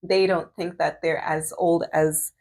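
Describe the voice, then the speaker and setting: Chatty woman in her thirties, lowish voice. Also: little pitch movement, a little slow, a little mellow. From Canada. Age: 30s. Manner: chatty, many fillers and restarts. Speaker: medical student and vlogger. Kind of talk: casual chat while doing her hair.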